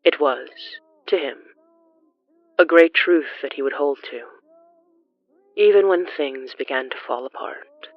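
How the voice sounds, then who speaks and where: Gothic horror story narrator, measured n theatrical, dry slightly muffled sound, faint music. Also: medium pitch, dry recording.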